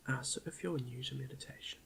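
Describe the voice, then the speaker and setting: A man quietly leading an ASMR guided meditation in a soft half-whisper, gentle and a little hesitant, close to the microphone with chalk clicks behind him. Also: medium pitch, steady tone, fast talker, noisy background. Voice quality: soft, breathy half-whisper with creaky fry.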